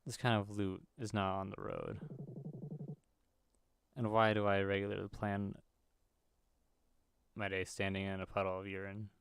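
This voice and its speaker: A man's voice: on the low side, flat delivery, unhurried, vocal fry, pauses often, clear, resonant tone. A man hosting gaming videos, reading a visual novel's script aloud as he plays.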